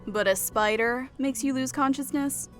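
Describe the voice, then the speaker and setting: A man voicing a brisk, caring woman with a dry, chiding manner. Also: extremely high pitch, fairly bright tone, faint music behind, voice pushed up.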